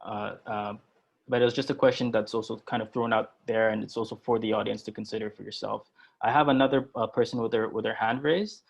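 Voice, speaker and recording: A man's voice: on the low side, steady tone, brisk, creaky. A man presenting and moderating an online workshop, speaking hesitantly with many fillers and restarts.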